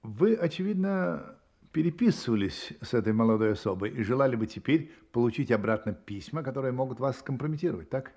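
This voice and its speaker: A shrewd private detective in an audio drama. His medium-pitched voice moves through wide swings of intonation. He probes with composure and adds small ironic interjections. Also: expressive, dark tone. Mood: probing. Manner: probing, unhurried, ironic interjections. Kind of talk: Russian audio drama acting.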